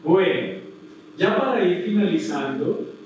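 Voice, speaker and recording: A man's voice: high voice, sing-song, unhurried, darkish tone, a little hiss or hum. A man giving a public lecture, thoughtful and full of fillers and restarts. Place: hall with strong echo. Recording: echoey hall from far off.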